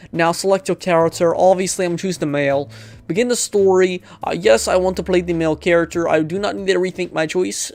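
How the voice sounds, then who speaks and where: A man hosting a gaming video, chatting casually and reading game text aloud, often stumbling over the words as he goes. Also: sits high.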